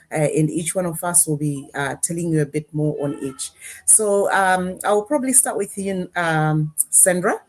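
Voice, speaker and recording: A woman with a slightly low voice hosts a live online conversation. She speaks in a casual, conversational way, addressing her guests and guiding the discussion between them. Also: darkish tone. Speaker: host of a live panel discussion.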